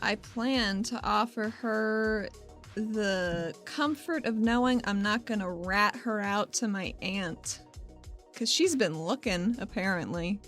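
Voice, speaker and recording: A woman's voice: mid-range voice, takes it slowly, a bit bright, faint music behind. A woman playing a wry, stubborn adventurer in a tabletop game, talking in a casual, slangy way. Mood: determined.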